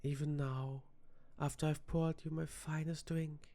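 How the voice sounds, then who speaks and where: Guy doing a flirty vampire bartender for asmr roleplay, soft n slow, mellow but on the higher side, teasing you. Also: little pitch movement. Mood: flirty, coaxing. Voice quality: soft-spoken. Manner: teasing, unhurried, seductive.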